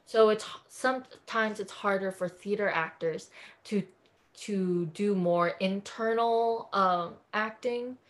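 A woman's voice: mid-range voice, slow. A woman from California, a podcast guest talking in an effusive, earnest way in a casual interview chat.

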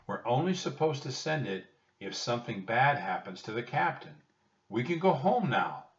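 A man's voice: mid-range voice, big pitch swings, moderate pace, roomy sound, pitched above the performer's own voice. A man voicing a cautious, worried young boy in a children's storybook, urgent and fretful. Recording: dull, lo-fi, distant microphone.

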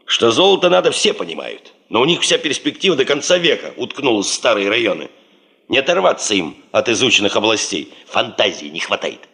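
Radio play: stubborn geologist boss, deep and gravelly, commanding, insistent, terse. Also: male voice, big pitch swings, fairly quick, fairly bright tone, exaggerated voice. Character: driven, stubborn male geologist chief demanding money for gold prospecting. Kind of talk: Soviet radio play acting.

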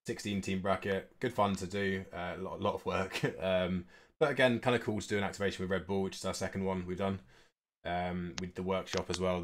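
A man's voice: deep voice, a little hiss or hum. A man giving a casual, unscripted business update as a host, speaking informally and a little hesitantly. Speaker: esports organisation co-owner hosting an update stream.

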